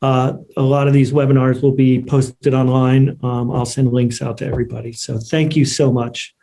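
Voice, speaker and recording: Male webinar moderator, mid pitch, flat intonation, polite and appreciative, casual delivery. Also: moderate pace, gravelly. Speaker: online event moderator. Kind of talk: casual closing remarks. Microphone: online video call.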